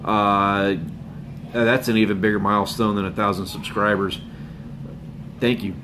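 A man hosts a fishing channel live stream from home, chatting loosely with viewers and sounding hoarse from a cold. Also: fairly low pitch, flat delivery, measured pace, noisy background. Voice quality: rambling, laid-back, with chuckles and filler words. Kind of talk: casual live stream chat. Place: home room with bookshelves and a window. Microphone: phone camera up close.

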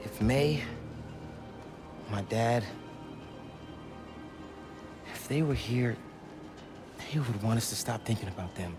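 An actor playing an earnest, loyal young American superhero in a video game, speaking informally and with urgency. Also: male voice, mid-range voice, slow, long pauses, music in the background, lightly acted. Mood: quietly consoling, resolute. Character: earnest, loyal young male superhero rallying his mentor. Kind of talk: video game cutscene acting.

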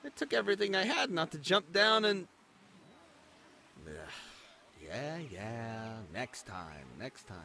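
A man's voice: a little high, animated, takes it slowly, vocal fry, pauses often, noisy background, full character voice. A man voicing an eager, insecure spider companion who stammers, pleads and boasts in an amateur fantasy audio drama. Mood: boastful, pleading.

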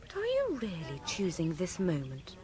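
A woman's voice: lowish voice, huge pitch swings, normal speed, warm tone. An actress playing a spirited, sensible young English lady in a radio comedy, forthright and easily exasperated. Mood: indignant.

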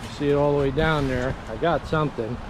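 A man's voice: fairly high pitch, fast, background noise. Upbeat man vlogging outdoors on action cam. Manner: upbeat, chatty, talks to the viewer while working. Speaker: magnet fishing vlogger. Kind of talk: casual outdoor vlog narration. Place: outdoors on an old railroad bridge over water. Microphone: wearable action camera.

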